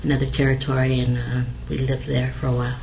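A middle-aged woman from American Samoa, raised in Hawaii, answering interview questions hesitantly with many pauses and fillers. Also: rumbling low, little pitch movement, gravelly, darkish tone, slight background noise, rough recording. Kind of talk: oral history interview.

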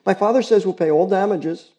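A man's voice: high-pitched, fairly quick, warm tone, muffled recording, pitched above the performer's own voice, lightly acted. A man voicing a precocious, earnest teenage girl who pleads eagerly. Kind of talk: audiobook character reading.

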